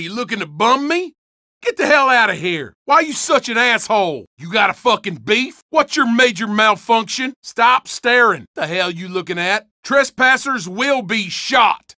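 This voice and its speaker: A man's voice: very high-pitched, bright, forceful tone, no room sound, studio quality, heavily acted. An actor playing a crude, hostile rural redneck man with a Southern accent, delivering profane game lines. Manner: crude, threatening, profane drawl. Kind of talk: video game voice barks.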